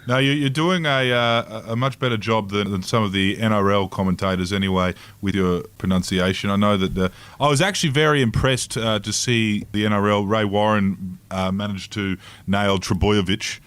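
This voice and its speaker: A man's voice: lowish voice, fairly even intonation, moderate pace, hardly any pauses, a bit bright, clean tone. An Australian man hosting a podcast, asking rambling, jokey questions in a casual interview chat. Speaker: podcast host.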